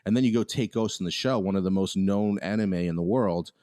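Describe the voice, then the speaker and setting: A man's voice: low voice, a little fast, mellow, smooth. A man co-hosting a podcast, talking in a casual, opinionated way with many restarts. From United States. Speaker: podcast co-host.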